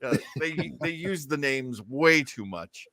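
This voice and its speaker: A man hosting a podcast, giving animated, jokey, casual commentary on old radio shows. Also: a little high, takes it slowly, no echo. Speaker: podcast host.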